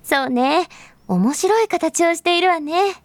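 A woman playing a gentle, patient mother explaining things to her small child in an audio drama. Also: extremely high pitch, lively intonation, measured pace, no room sound, full character voice. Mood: patient, tender. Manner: soft-spoken explaining, patient.

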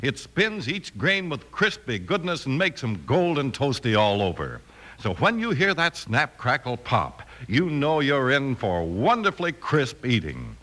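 A man announcing in polished, emphatic 1950s radio style, as in a sponsor pitch or story narration. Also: huge pitch swings, a little slow, pushed and bright.

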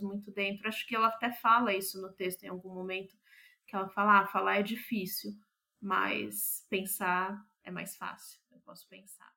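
Thoughtful Brazilian woman, mid-pitched. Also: bright, forceful tone. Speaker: playwright, interview guest. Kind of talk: reflective interview talk.

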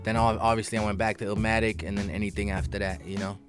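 A man's voice: lowish voice, flat delivery, fast. A man in his thirties, a rapper guest on a studio radio show, talking in a laid-back, slangy way. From grew up in Amsterdam, born in LA. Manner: laid-back, slangy, says "you know what I mean" a lot. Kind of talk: casual studio radio interview. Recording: music bed playing behind. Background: hip hop music.

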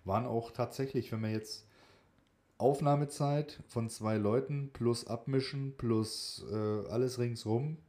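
A man's voice: mid-range voice, steady tone, unhurried, dark tone. A man co-hosting a podcast in casual, conversational talk. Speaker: podcast co-host.

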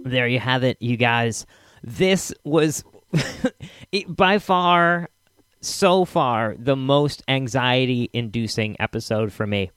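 A man hosting a podcast in casual, halting solo chat, repeating himself for emphasis. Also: mid-range voice, a bit bright, clear, resonant tone, no room sound, studio quality. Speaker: podcast host.

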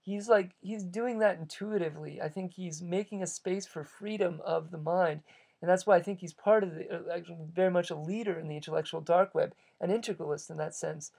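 A man talks alone through an episode of his own show, musing and philosophizing as he goes. His voice has a gravelly, fry-laden texture, and he often circles back to restart his sentences. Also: high-pitched, fairly quick, darkish tone. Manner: reflective, philosophizing. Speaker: podcast host. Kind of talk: solo monologue, thinking aloud.

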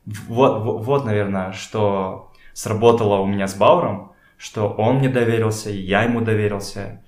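A young man chatting casually as an interview guest, informal and halting as he searches for words. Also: lowish voice, steady tone. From Kazakhstan. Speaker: podcast guest, photographer and art director. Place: indoors in a home. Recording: echoey room.

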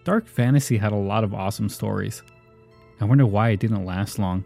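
A man hosts a podcast in a casual, conversational style. His voice is low and notably dark and relaxed, and faint music plays behind him. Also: a little fast, vocal fry. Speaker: podcast host.

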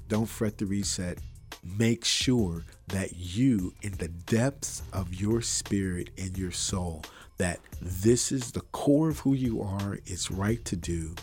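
Low bassy guy preaching on his podcast, earnest and pushy, faint music behind, bare untreated room. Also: darkish tone. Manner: earnest, preachy and encouraging, repeats phrases for emphasis. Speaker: podcast host. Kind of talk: motivational podcast monologue. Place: untreated room with wires, not acoustically treated.

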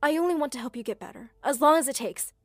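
A woman voicing a caring young man who speaks softly and reassures. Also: sits high, animated, quick pace, no room sound, faint music behind, voice pushed up. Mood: reassuring. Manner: gentle and reassuring, firm when angry. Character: caring, protective young boyfriend.

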